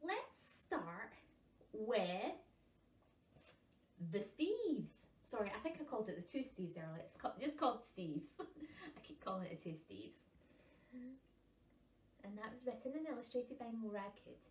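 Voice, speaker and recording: A woman, reading picture books to young children with chatty, expressive asides. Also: a little high, unhurried, pauses often. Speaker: library storytime presenter. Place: small carpeted room at home, seated on cushions. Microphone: camera a couple of metres away. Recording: roomy sound from a distant camera mic.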